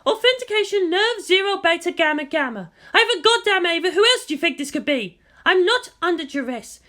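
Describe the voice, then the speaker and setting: A narrator doing a high, fierce female commander, forceful. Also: very animated, fairly quick, heavily acted. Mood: exasperated, defiant. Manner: shouting, swearing, defiant. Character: fierce, furious female military commander defying betrayal.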